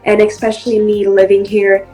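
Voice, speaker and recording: A woman's voice: mid-range voice, completely flat delivery, normal speed, darkish tone, soft background music. Chatty young Brazilian woman. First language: Portuguese. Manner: friendly, chatty, many ums and likes. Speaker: interview guest, actress and model. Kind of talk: casual interview answers.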